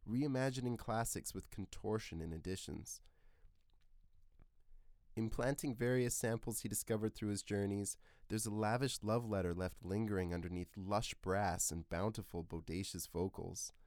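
A man's voice: lowish voice, darkish tone, dry recording, studio quality. A man hosting a podcast, reading scripted narration in an earnest, literary way. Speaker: podcast host.

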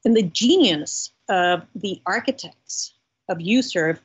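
A woman's voice: mid-range voice, expressive, slow pace, breathy edge, dull audio. A woman from the United States, a guest on a podcast, speaking in an earnest, articulate way. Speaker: guest, religious freedom advocate. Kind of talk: podcast interview.

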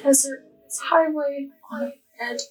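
A high, slow, dreamy voice drifts through a nightmare as a woman plays a young woman murmuring half-sung lines. She sounds set back from the mic, with loud music swelling around her. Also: steady tone, recorded from a distance, low-quality recording. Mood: dreamy sing-song. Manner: dazed, drifting, sing-song murmuring. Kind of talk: audio drama acting.

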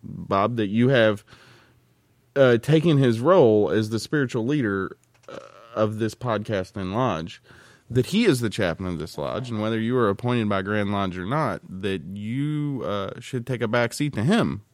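A male podcast host with a fairly low voice, recorded close and dry with little room sound. He banters casually, teasing his companions and playing the aggrieved party. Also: animated, a little slow, no room sound.

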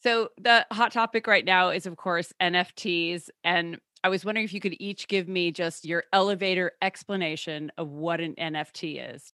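A woman's voice: on the low side, steady tone, normal speed, few pauses, pushed and bright, no room sound, studio quality. A woman hosting an interview, asking short, inquisitive questions. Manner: inquisitive, brief prompting questions. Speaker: host.

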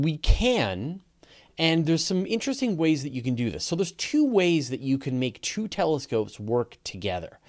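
A man's voice: mid-range voice, a little mellow. A man with a Canadian accent hosting a livestream question show, explaining ideas step by step in a conversational way. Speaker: host of a space podcast. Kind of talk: livestream Q&A, explaining astronomy to viewers.

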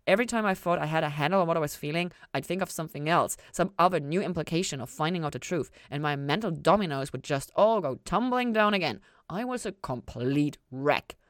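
Woman voicing a frank, low-voiced female therapist. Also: lively intonation, brisk, few pauses, no room sound, studio quality. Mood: confessional. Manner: emphatic, confiding, conversational. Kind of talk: audiobook-style character dialogue.

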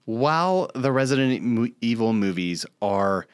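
A man's voice: medium pitch, expressive, unhurried, clear, resonant tone, no room sound, clean studio sound. A man co-hosting a podcast in casual chat, with a joking, self-deprecating manner. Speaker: podcast co-host.

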